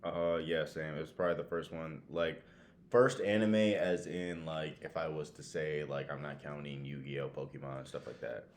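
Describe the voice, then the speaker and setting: Very deep-voiced man in casual chat. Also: steady tone, normal speed. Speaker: podcast co-host.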